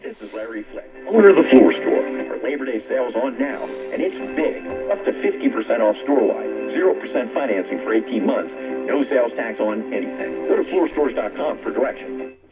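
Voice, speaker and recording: A man's voice: medium pitch, animated, brisk. A man reading a store advertisement as its owner, played through a muffled vintage radio. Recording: dull vintage radio speaker, loud music.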